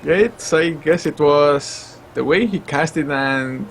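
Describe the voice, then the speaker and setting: A man's voice: a little high, slow pace, slight background noise. A man from Estonia with an Estonian accent, an esports caster answering interview questions in a hesitant, self-conscious way.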